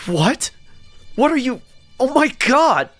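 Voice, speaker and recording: A man's voice: very high-pitched, very animated, takes it slowly, a bit bright, soft background music, pitched above the performer's own voice, heavily acted. A man voicing a terrified kidnap victim in a horror story, crying out and pleading. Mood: shocked. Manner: screaming, pleading.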